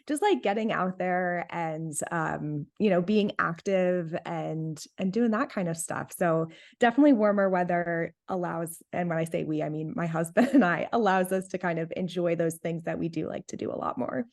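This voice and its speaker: A woman's voice: on the low side, moderate pace, gravelly, hardly any pauses, darkish tone. Chatty young woman, laughing guest. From North Carolina. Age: 20s. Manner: chatty, laughs often, many fillers like "like". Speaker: interview guest, diabetes health coach. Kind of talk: casual interview chat.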